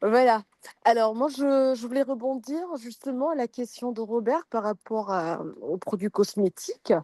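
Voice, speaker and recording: Hesitant woman with a higher voice. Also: normal speed, warm tone, no room sound. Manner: hesitant, many fillers and restarts, friendly. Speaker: customer giving a testimonial. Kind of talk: casual testimonial on a video call.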